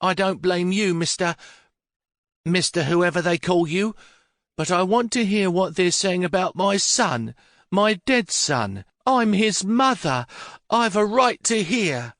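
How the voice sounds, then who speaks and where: A male narrator puts on a little old mother in a storybook, trembling with petulant rage. Her voice is pitched far above his own and swoops with feeling. The reading is close and dry. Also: lively intonation, takes it slowly, fairly bright tone, no echo, full character voice. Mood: pleading. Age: older. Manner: shrill, quavering, childish pleading.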